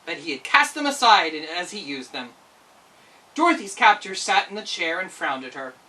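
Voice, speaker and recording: Woman reading a kids story, really animated, bright voice, bit far from the mic. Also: fairly low pitch, moderate pace. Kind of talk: expressive children's storybook narration.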